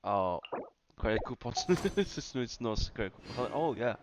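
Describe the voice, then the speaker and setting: A man's voice: medium pitch, very animated, measured pace, noisy background. A man giving casual, hesitant commentary as he streams a game playthrough. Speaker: gaming streamer. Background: game music.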